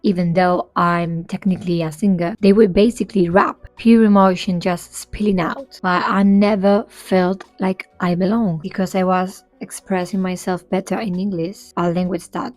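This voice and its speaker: A young woman from Spain, whose first language is Spanish, talking in English in a soft-spoken, candid and reflective way to her camera. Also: on the low side, steady tone, normal speed, hardly any pauses, no room sound, soft background music. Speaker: vlogger and independent singer. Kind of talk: improvised personal talk to camera.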